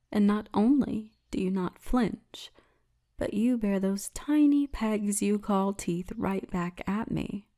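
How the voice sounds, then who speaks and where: Woman playing a slow, mellow, teasing orc guide. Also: mid-range voice. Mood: admiring, amused. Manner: teasing, confident, formal turns of phrase, often breathy murmur. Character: towering, teasing female orc militia officer and tour guide. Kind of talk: ASMR roleplay.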